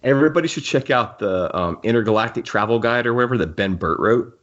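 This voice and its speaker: A man's voice: mid-range voice, animated, quick pace, lo-fi sound. A man co-hosting a podcast in a casual fan discussion, rambling and interrupting himself as he goes. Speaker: podcast co-host.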